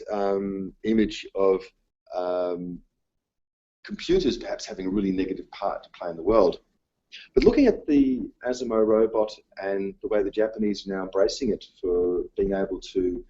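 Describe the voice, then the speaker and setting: A male teacher whose first language was Dutch, giving a conference talk in a halting way, full of ums and uhs. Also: low-pitched, mellow, muffled recording. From Australia. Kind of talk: presentation talk. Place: conference room.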